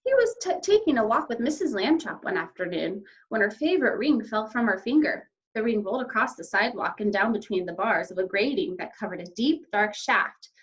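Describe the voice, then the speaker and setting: A woman teacher reading a children's story aloud and explaining it to young pupils in an expressive way. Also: medium pitch, fairly quick, few pauses. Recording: slightly dull, lo-fi sound.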